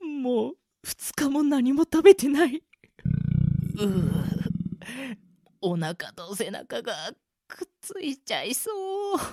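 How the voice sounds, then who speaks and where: Man playing an earnest young boy hero, very expressive. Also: extremely high pitch, takes it slowly, full character voice. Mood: weak, hungry. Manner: soft-hearted, earnest, polite. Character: earnest, loyal, gentle young adventurer boy. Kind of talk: amateur radio drama acting.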